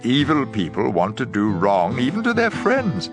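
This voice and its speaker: A man's voice: medium pitch, huge pitch swings, moderate pace. A man reading scripture as dramatized audio Bible narration. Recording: music bed under voice.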